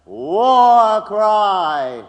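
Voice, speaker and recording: Man playing a high-voiced theatrical prosecutor in a hall. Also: very animated, very slow, lo-fi sound, exaggerated voice. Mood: declamatory. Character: forceful male prosecutor in a historical trial. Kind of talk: courtroom audio drama acting. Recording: long hall reverb.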